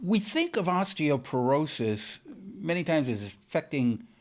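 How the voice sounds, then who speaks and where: A man's voice: a little high, sing-song, measured pace. An older man hosting a talk show interview from a TV studio, friendly and conversational. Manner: friendly, conversational, leading questions. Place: TV studio set. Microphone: studio boom mic.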